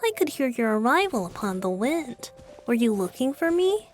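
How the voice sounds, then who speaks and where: A woman's voice: a little high, sing-song, normal speed. A woman voicing a playful, whimsical boyish wind-god bard in a video game, airy and theatrical. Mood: breezy, playful. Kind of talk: English video game dub. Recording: music behind the voice.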